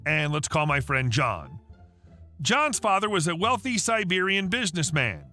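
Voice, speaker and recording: Guy reading online stories, kinda high voice, faint music under it. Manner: steady, even read-aloud delivery. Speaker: narrator. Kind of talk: scripted narration of online stories.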